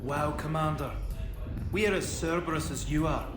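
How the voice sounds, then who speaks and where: Casting: actor as excitable loyal engineer, game role, earnest, slightly high male voice, roomy echo under music. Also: moderate pace, close to an everyday voice. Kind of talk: video game voice acting.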